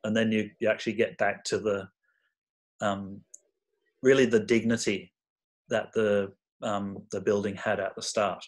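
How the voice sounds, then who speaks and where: Reflective man presenting, slightly low voice. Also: flat delivery, a little slow. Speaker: workshop presenter, architect. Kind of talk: informal online talk.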